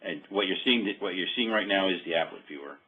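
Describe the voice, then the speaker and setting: A man giving an informal software walkthrough, explaining in a halting, hesitant way. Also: low voice, breathy edge. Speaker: software demonstrator. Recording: dull phone-like conference-call audio.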